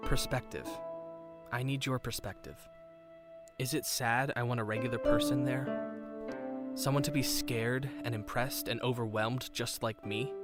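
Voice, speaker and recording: A man's voice: mid-range voice, fairly even intonation, unhurried, lightly acted. A man acting a playful, self-doubting young Avatar in a fan-made visual novel, earnest and teasing. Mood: earnest, confiding. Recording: background music throughout.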